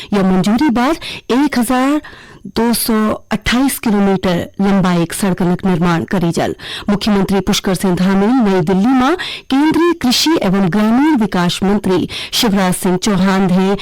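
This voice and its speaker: A woman with a Kumaoni accent reads a scripted regional radio news bulletin in a formal, measured way. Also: mid-range voice, a little mellow, no room sound, low-quality recording. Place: radio studio.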